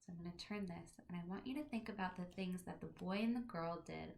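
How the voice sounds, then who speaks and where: A woman teacher reading a picture book aloud to young children, with deliberate phrasing and chatty asides to her listeners. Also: on the low side, vocal fry, darkish tone, slight background noise.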